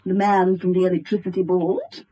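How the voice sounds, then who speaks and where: An actress playing a chatty, affectionate, pushy English wife in a 1970s radio drama. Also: female voice, lowish voice, level tone, normal speed, mellow, breathy edge, rough recording.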